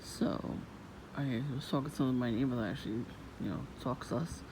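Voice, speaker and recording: A woman with a low voice, vlogging in a soft-spoken, rambling and suspicious way outdoors near her home. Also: normal speed. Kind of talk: casual rambling monologue. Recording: noisy outdoor ambience.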